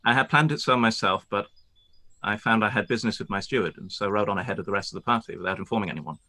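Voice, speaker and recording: A man acting a formal, forceful gentleman. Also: fairly low pitch. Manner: formal, measured, with brief hesitations. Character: reserved proud young gentleman landowner, newly courteous. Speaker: actor in a live-read radio play. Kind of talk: period radio play acting.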